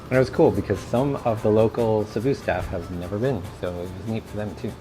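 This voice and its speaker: Vlog co-host, man with a very low voice, relaxed and halting, with music clearly heard behind him. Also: low-quality recording. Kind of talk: casual vlog chat.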